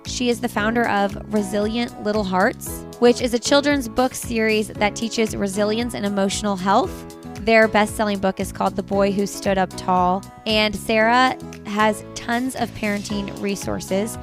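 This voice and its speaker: A woman's voice: mid-range voice, fairly even intonation, moderate pace. An American woman hosting a parenting podcast, reading ads and interviewing in an upbeat, enthusiastic way. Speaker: podcast host. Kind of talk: host intro, sponsor read and interview. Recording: music playing behind the voice.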